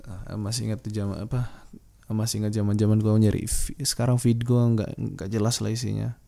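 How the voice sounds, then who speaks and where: A man's voice: fairly low pitch, flat delivery, brisk, creaky, mellow. A man hosting a solo podcast, talking in a casual, slangy, rambling way and thinking aloud with hesitations.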